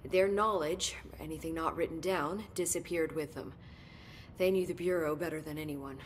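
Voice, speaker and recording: An actress playing an earnest, sharp-witted research scientist in a video game, articulate and clinical in her wording. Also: low voice, steady tone, moderate pace, slight background noise, natural voice. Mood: earnest, analytical. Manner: articulate, clinical wording, emphatic when stirred. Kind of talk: naturalistic video game acting.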